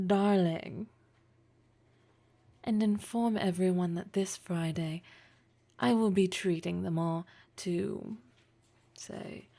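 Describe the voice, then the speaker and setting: A woman playing a poised, teasing, wealthy female boss in an intimate, breathy audio roleplay, speaking with deliberate, flirtatious formality. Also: medium pitch, warm tone. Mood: flirtatious, commanding. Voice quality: breathy, husky.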